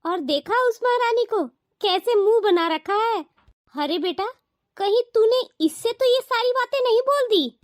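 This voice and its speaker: A woman's voice: extremely high pitch, lively intonation, a little slow. A woman voicing a scornful, bossy middle-aged mother-in-law with a mocking, dismissive way of talking in a cartoon family drama. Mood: bossy, sneering. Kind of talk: animated family drama dialogue.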